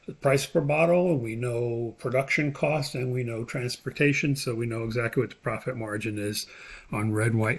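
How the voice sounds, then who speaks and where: Male instructor, noticeable vocal fry, unscripted software walkthrough, explains step by step while thinking aloud. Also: mid-range voice. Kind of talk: screen-recorded software tutorial, unscripted explanation.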